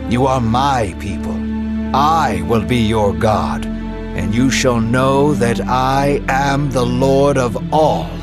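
A solemn, commanding divine voice, put on by a male reader, speaks with compassion and strength. The delivery is slow and steady, with clear music running underneath. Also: mid-range voice, lightly acted.

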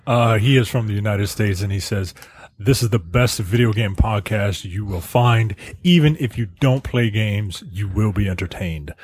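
A man co-hosting a casual podcast, relaxed, reading aloud with small stumbles. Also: on the low side, normal speed, husky, dry recording. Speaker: podcast co-host.

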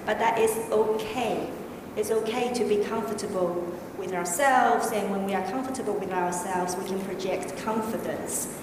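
A woman with a non-native English accent talks slowly and quietly in a measured, analytical way on a discussion panel. She is heard from a distance in a large, very echoey hall with a long reverb. Steady background noise sits under her voice. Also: medium pitch. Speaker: panel speaker.

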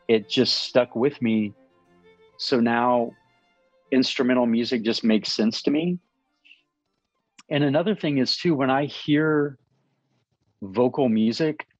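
A man's voice: mid-range voice, no room sound. Musician guy in his 50s talking earnestly, stops and restarts a lot, kinda dull recording, faint music under it. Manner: earnest, thoughtful, halting with restarts. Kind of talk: casual interview answers.

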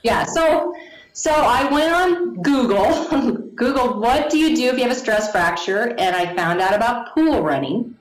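A woman in her forties telling her story to an interviewer, animated, laughing often and acting out the people she quotes. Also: on the high side, unhurried. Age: 40s. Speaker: guest. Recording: echoey room.

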